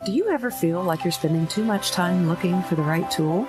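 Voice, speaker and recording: A woman playing a deadpan announcer reading a parody radio commercial for a store. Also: fairly low pitch, fairly quick, natural voice. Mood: salesy, tongue-in-cheek. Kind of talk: parody radio advertisement read. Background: loud music bed.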